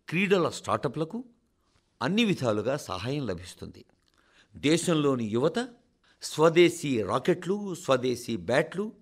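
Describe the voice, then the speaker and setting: Expressive Telugu man reading a radio address. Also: fairly high pitch. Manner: formal, deliberate phrasing with rhetorical pauses. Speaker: radio reader of a translated national address. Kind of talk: scripted address read aloud for radio.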